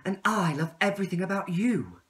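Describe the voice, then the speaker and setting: A man's voice: high-pitched, a little fast, a bit bright, recorded from a distance, voice pushed up. A man voicing a sweet but reproachful young female caterpillar, lovestruck and demanding, in a children's storybook. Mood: adoring.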